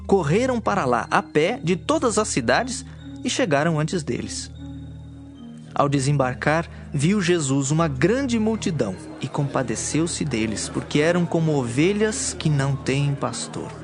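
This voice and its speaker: A man narrates scripture from a script. His voice is fairly high for a man. It is a dramatized Bible narration with music clearly playing underneath. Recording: clear music bed behind.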